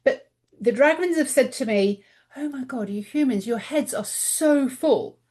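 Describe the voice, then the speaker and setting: A woman's voice: high-pitched, expressive, measured pace, darkish tone. A middle-aged woman, a guest author in a casual podcast interview, talking earnestly and voicing her own inner thoughts aloud.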